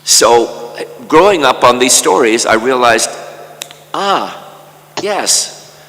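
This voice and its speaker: Older man lecturing slowly in a reverberant hall. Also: medium pitch, expressive, a bit bright. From Canada. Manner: slow and measured, often repeating words as he restarts. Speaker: conference speaker. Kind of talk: academic lecture. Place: conference hall.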